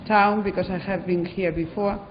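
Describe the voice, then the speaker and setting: A Spanish-accented woman head teacher answers questions in halting English, pausing often on fillers. Her voice rings out in a large echoing hall, with a dull lo-fi sound and faint background noise. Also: medium pitch, steady tone. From Spain. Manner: hesitant, many fillers. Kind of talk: interview answers in non-native English.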